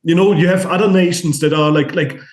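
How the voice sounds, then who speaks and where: A man with a German accent talks as a podcast guest, his voice sitting fairly high for a man. He stumbles and restarts a lot and swears freely. The recording carries a roomy echo. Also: fairly even intonation, fairly quick. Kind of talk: casual conversation.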